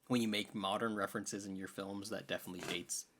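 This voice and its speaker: Lower-voiced man in casual podcast chat. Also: steady tone, fast, a bit bright. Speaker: podcast co-host.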